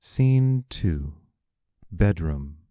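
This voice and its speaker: A man reading the stage directions of a play aloud as scripted narration, plainly and deliberately. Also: low-pitched, sing-song, a little mellow, no room sound, clean studio sound.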